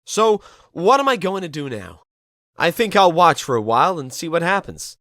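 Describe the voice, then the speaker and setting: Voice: medium pitch, big pitch swings, normal speed, a bit bright, no room sound, studio quality. An actor playing a curious, polite amnesiac spirit detective, musing in a game fandub. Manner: reflective, polite, talking to themself. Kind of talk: game fandub narration and dialogue.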